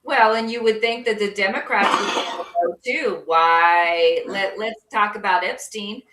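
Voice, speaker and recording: A woman's voice: mid-range voice, measured pace, bright, forceful tone. A woman co-hosting a casual opinion talk show, blunt and salty in her remarks. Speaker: co-host. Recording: echoey room, voice far from mic.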